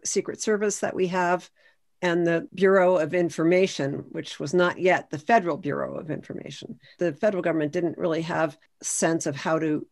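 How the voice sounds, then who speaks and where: A woman speaking as a historian on a video call panel, explaining things with emphasis. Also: on the low side.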